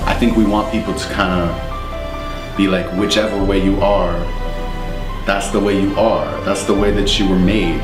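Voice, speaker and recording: A man's voice: low voice, recorded from a distance. A man of twenty-two giving earnest, casual personal testimony in an interview, often repeating words as he goes. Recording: echoey room, mic set back, music underneath.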